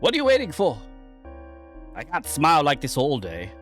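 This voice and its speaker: A cruel, bullying submarine officer acted by a man in a horror game reading, scornful and commanding. His pitch jumps sharply as he orders and insults, with music behind him. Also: wildly swooping pitch, normal speed, a bit bright. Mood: demanding. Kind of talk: dramatic reading of a horror visual novel.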